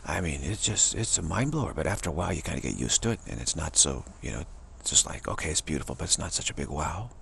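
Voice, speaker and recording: A man giving a rambling, informal spiritual monologue in short, halting phrases that trail off. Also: a bass voice, big pitch swings, vocal fry, a bit bright, slight background noise. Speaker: spiritual vlogger.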